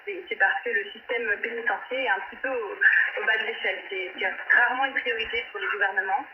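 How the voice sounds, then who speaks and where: A woman's voice: a little high, a little fast. A woman explaining things as a radio interview guest, articulate with small hesitations. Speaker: interview guest, human rights researcher. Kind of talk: spontaneous explanatory interview answers. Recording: noisy muffled shortwave radio reception. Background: strong radio static.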